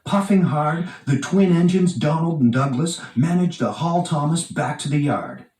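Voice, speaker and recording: A man reading a children's storybook aloud with expressive, dramatic emphasis. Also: a little high, normal speed. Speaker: storybook narrator. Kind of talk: animated read-aloud children's storybook narration. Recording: roomy, echoing, distant from mic.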